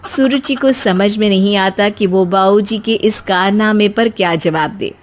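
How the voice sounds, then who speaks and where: A woman's voice: medium pitch, a little slow, slight background noise. A woman narrating a scripted comic moral tale as a storyteller.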